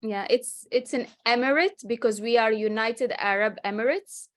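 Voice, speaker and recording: A woman's voice: a little high, normal speed. Chatty woman explaining things. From Morocco. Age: 30s. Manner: chatty and explanatory, with frequent restarts. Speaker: guest real estate agent. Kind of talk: casual interview answers. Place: home with a city skyline behind.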